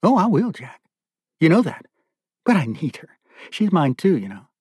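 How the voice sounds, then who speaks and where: A man's voice: a little high, sing-song, normal speed, darkish tone, slightly rough, no room sound, pitched above the performer's own voice. A man performs a menacing male captor in a dramatic audiobook. He speaks in a raised, smug voice, giving orders with a sickly show of sympathy and possessiveness. The sound is close and dry. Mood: smug, threatening. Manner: commanding, mock-sympathetic. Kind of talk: audiobook character dialogue.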